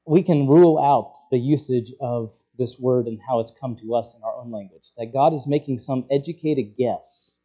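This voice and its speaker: A man preaching an expository sermon, working through scripture as a teacher would, often halting as he searches for words. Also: mid-range voice, warm tone, lo-fi sound.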